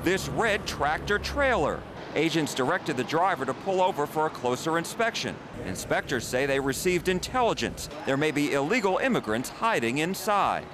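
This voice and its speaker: Lively high-pitched man reporting TV news. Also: moderate pace, background noise. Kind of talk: scripted news narration.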